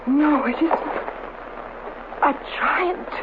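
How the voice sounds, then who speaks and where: An actress playing a scientist's devoted, anxious wife in a radio drama, with a tender, theatrical delivery. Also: female voice, high voice, drawn-out words. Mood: hushed, fearful. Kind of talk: 1970s radio drama acting. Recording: muffled, hissy old radio broadcast.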